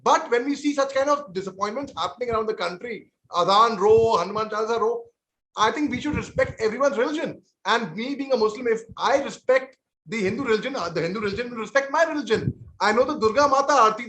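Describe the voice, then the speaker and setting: Indian male panelist, 40s, high-pitched, sincere and peacemaking, echoey room. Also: quick pace, pushed and bright, slight background noise. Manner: earnest, conciliatory, often repeats and restarts words. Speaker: army veteran panelist on a TV debate. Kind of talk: televised panel debate.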